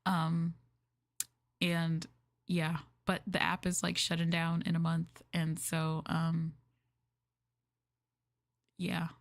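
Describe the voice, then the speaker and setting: A woman livestreamer chatting casually with viewers in a gentle, hushed, soft-spoken ASMR style, close to the microphone. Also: deep voice, monotone, slow, gravelly, long pauses, no echo. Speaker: VTuber livestreamer.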